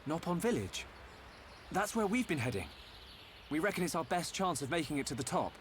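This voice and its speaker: A man's voice: on the high side, sing-song, fairly quick, a little hiss or hum. An actor playing an earnest, polite young male adventurer with a British accent in a video game. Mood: friendly, eager. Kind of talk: video game cutscene acting.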